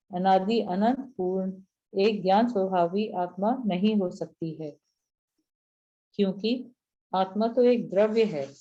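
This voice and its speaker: A woman reads a spiritual passage aloud in an unhurried, measured way, then explains it to her class. Her voice is dark and mellow. It comes through a muffled, lo-fi online call. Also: medium pitch. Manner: slow, measured delivery. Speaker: class reader. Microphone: video call. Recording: dull lo-fi online call audio.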